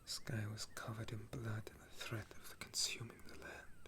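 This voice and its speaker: A man playing a weary, tender legendary elf war hero in an intimate ASMR roleplay, speaking in a soft, breathy half-whisper. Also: lowish voice, huge pitch swings. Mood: somber, grieving. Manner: slow, gentle, wistful storytelling.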